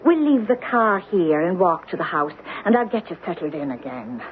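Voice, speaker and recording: A woman's voice: lowish voice, sing-song, moderate pace. An actress playing a tiny, doleful old Irish cottage woman, mysterious and insistent, in a lilting archaic dialect for an old-time radio horror drama. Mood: coaxing. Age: older. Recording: tinny old radio broadcast, faint noise.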